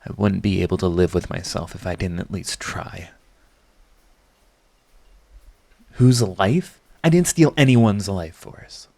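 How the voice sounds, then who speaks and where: A man's voice: deep voice, normal speed, gravelly, a little mellow, dry recording. A man playing a proud villain who is secretly devoted to his rival, in an intimate, emotional audio roleplay. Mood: defensive, intense. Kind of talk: dramatic ASMR audio roleplay.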